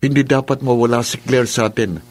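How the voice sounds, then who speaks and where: A man's voice: mid-range voice, fairly even intonation, a little fast, a little mellow, natural voice. A man playing a smug, callous young scheming son in a radio drama, cocky and breezy. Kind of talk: Filipino radio drama acting.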